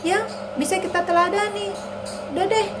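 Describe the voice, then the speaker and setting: A woman's voice: very high-pitched, steady tone, moderate pace, voice pushed up. A woman voicing a whiny, bored little girl character in a children's Sunday school skit, with a pouty, complaining delivery. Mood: bright. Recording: clear background noise.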